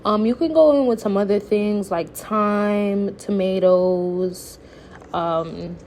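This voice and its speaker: A woman's voice: mid-range voice, takes it slowly, darkish tone, slight background noise. A woman giving a casual, playful cooking tutorial, chatting to viewers informally as if they were friends. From Haitian background. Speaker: home cook, recipe vlogger.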